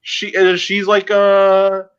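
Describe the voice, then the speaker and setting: A man hosting a casual interview, asking questions in a loose, halting, conversational way. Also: high-pitched, monotone, a bit bright, smooth. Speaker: podcast host, interviewer. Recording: slightly dull, lo-fi sound.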